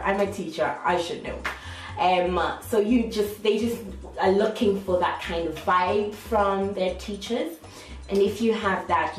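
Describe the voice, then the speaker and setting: A woman with a light Irish accent, an online English teacher giving a chatty, upbeat how-to talk that often restarts its sentences. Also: fairly low pitch. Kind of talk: casual how-to vlog. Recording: echoey room, faint music.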